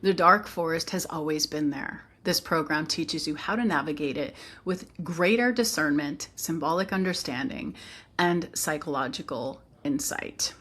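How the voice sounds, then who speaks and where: A woman's voice: low-pitched, moderate pace, a bit bright. A woman teacher presenting her program informally, explaining earnestly with conversational asides.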